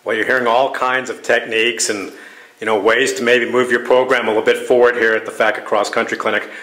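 A man's voice: medium pitch, level tone, a little fast, fairly bright tone. A man asking questions as the interviewer in an informal sports interview. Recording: echoey room.

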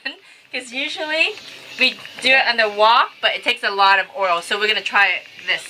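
A woman's voice: on the high side, a little fast, sharp tone. A woman chatting casually and cheerfully as she hosts a cooking vlog in a small boat galley. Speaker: vlog host. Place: small sailboat galley indoors. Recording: noisy on-camera boat galley audio.

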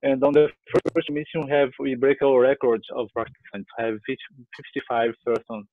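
A man's voice: medium pitch, fairly even intonation, fairly quick, no room sound. A man in his thirties with a Brazilian accent, giving an informal group report and often rephrasing himself. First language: Portuguese. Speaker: sketching group organiser. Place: room at home. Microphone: headset on a video call. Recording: dry, thin phone-like call audio.

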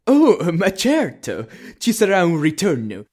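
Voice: mid-range voice, wildly swooping pitch, slow, darkish tone, heavily acted. An actor voicing a self-assured, earnest animatronic bear leader in a parody cartoon, with a declamatory manner. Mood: proud.

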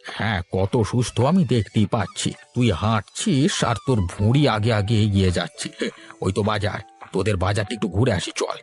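A man's voice: on the low side, normal speed, faint music behind, natural voice. A man playing a blunt, teasing village fellow in a cartoon. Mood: teasing, scolding. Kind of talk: cartoon voice acting.

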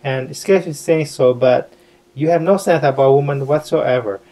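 A man's voice: a little high, moderate pace, warm tone, natural voice. A man voicing a fussy, chattering young princess in a puppet show. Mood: scolding.

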